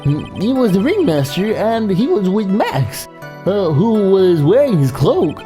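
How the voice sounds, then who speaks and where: A woman's voice: mid-range voice, big pitch swings, slow, mellow, soft background music. Woman playing a chatty, swooping circus clown. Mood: storytelling. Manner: rambling, eager, stumbling. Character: chatty, eager male circus clown witness fond of bad jokes. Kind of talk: amateur voicing of a courtroom video game.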